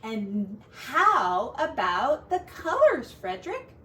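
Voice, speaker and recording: A woman's voice: high voice, huge pitch swings, slow pace, a bit bright, roomy sound, far from the mic, a little hiss or hum, full character voice. A woman voicing busy, reproachful little field mice in a storybook, with a pointed, questioning lilt. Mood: anxious, expectant.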